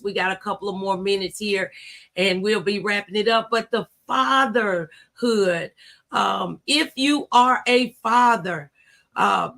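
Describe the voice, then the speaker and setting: A woman's voice: mid-range voice, creaky, sharp tone. A middle-aged woman hosting a talk show in a casual, conversational style.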